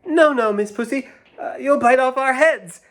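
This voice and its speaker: A man's voice: very high voice, moderate pace, fairly bright tone, voice pushed up. A man voicing tiny, wary but polite mice in a nursery storybook.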